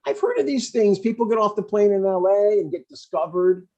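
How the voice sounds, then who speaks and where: A man's voice: high voice, expressive, a little fast, darkish tone, lo-fi sound. A man playing a chatty, stubborn older father in a comedy table read, in a conversational, mildly needling way. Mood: pleased, chatty.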